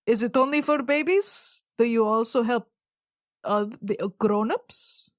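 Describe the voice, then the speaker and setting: A woman's voice: a little high, unhurried, no echo, clean studio sound, lightly acted. A woman voicing a clueless, awkwardly polite tiny blue fish man adventurer in a tabletop game, stammering and fumbling. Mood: clueless curiosity.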